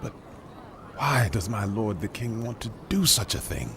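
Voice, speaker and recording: A man's voice: lowish voice, sing-song, a little slow, gravelly, full character voice. A man playing a respectful, troubled ancient army commander in an audio Bible drama, formal and deferential. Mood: respectful, questioning. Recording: noisy outdoor ambience behind voice.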